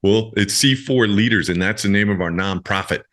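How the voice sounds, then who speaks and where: A man's voice: on the low side, normal speed, a bit bright. A man hosting a podcast in an effusive, encouraging way, moving between scripted introduction and casual chat and addressing listeners directly. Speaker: podcast host.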